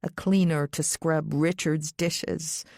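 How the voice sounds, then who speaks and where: Low-voiced woman narrating an audiobook. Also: steady tone, takes it slowly, vocal fry, a little mellow, no echo, clean studio sound. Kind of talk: scripted first-person thriller audiobook narration.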